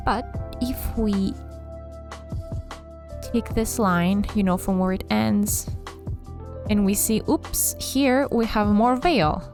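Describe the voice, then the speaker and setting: Chatty low-pitched woman over music. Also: slow pace, pauses often, darkish tone. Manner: rambling, playful. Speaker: video host. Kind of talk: casual chatty game commentary. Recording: music behind the voice.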